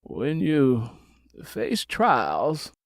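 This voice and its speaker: A man acts out an older father and church pastor in an audiobook. He is troubled yet stubborn. His phrasing is deliberate and weighty, as if every line were scripture from the pulpit. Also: wildly swooping pitch, no echo, studio quality, exaggerated voice. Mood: troubled, preachy. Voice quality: deep, weighty. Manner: sermonizing, slow deliberate phrasing.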